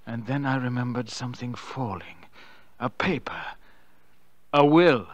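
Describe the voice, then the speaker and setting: An actor playing a scheming, nervy murderous nephew in a radio drama, agitated and prone to stammering outbursts. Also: male voice, medium pitch, measured pace, no echo, natural voice. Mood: haunted.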